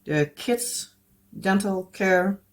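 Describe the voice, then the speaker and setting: A woman's voice: mid-range voice, very slow. A Dutch woman with a Dutch accent chatting casually at home as a craft vlogger, rambling and searching for English words with frequent little laughs. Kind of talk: casual chat while crafting.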